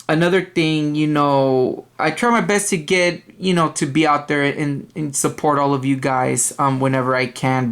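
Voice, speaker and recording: A young man with a loud voice, talking casually to his viewers. He rambles with lots of ums and restarts, at a mid pitch for a man. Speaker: video game collector vlogger. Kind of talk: casual rambling vlog talk. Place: apartment dining room at night.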